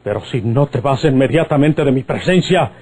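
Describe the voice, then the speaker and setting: A man's voice: medium pitch, lively intonation, moderate pace, darkish tone, breathy edge. An actor playing a hot-tempered, menacing bandit father in an old radio drama, with a Mexican accent and theatrical rustic speech. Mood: angry, threatening.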